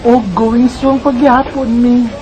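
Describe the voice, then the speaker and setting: A woman's voice: fairly high pitch, flat delivery, takes it slowly, dark tone, rough recording. An actress with a Filipino Visayan accent playing an earnest, trusting young woman in love in a radio drama. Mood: defensive, chatty. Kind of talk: Bisaya radio drama acting and narration.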